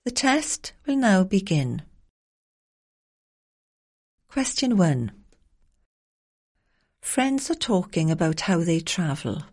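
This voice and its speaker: A woman reading formal, clearly enunciated instructions as the narrator of a language exam recording. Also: fairly low pitch, very animated, takes it slowly, long pauses, darkish tone.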